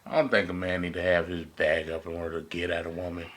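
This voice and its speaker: Slangy man with a bright voice. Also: low voice, flat delivery, fast. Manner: colloquial, slangy. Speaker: podcast co-host. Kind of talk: casual panel chat.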